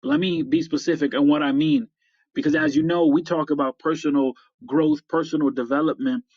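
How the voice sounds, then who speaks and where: A middle-aged American man hosting a motivational podcast, speaking in deliberate, emphatic phrases with repetition. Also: a little high, expressive, vocal fry, darkish tone, no room sound. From upstate New York, United States. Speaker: podcast host. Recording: slightly dull, dry lo-fi audio.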